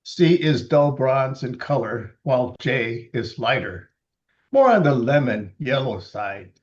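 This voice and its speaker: A man in his seventies from Los Angeles reads his own poems slowly and deliberately, with halting, chatty asides between them. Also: mid-range voice, expressive, muffled recording. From Los Angeles, USA. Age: 70s. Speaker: poet reading his libretto. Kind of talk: poetry reading with casual asides.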